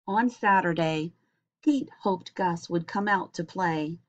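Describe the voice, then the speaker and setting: A middle-aged woman reading a children's picture book aloud with expressive, deliberate phrasing. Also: fairly low pitch. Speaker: storybook reader. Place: small indoor home room. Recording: dull lo-fi webcam recording.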